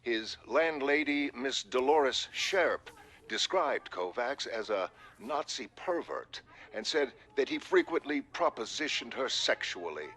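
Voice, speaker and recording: A man playing an impersonal television news reader with measured, clipped announcing in a comic-book drama. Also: medium pitch, lively intonation, fairly bright tone. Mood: formal, detached. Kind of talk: news bulletin within comic-book reading.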